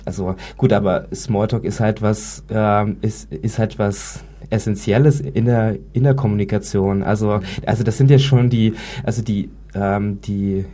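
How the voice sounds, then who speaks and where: A man who stutters, talking casually with halting starts and repeated words. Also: on the low side, steady tone, warm tone. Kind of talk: casual round-table talk about stuttering.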